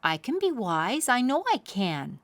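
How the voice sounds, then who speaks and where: Silly little owl kid done by a lady reading a storybook, bouncy singsong, kinda high. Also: very animated, unhurried, a bit bright, no echo, heavily acted. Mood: earnest. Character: playful, silly young male owl.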